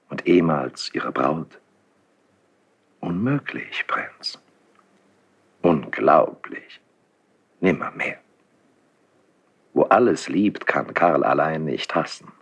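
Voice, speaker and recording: An actor playing an older, insinuating court priest in a classical German radio drama, speaking formally and solemnly with breathy, husky declamation. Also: male voice, very deep voice, heavily acted. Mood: feigned astonishment. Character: insinuating older court priest, confessor to the king, smooth and probing.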